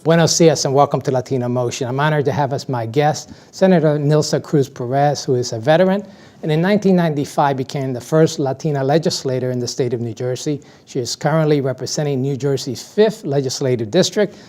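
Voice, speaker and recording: A man in his sixties hosts a studio interview show. His pitch sits in the middle, and his delivery is formal, as a presenter's would be. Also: brisk, darkish tone. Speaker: talk show host. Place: indoor TV studio.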